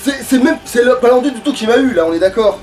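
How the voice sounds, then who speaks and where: A man's voice: very high voice, lively intonation, quick pace, darkish tone, recorded from a distance, soft background music. A man giving casual gaming commentary to a co-player, foul-mouthed and grumbling. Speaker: let's player.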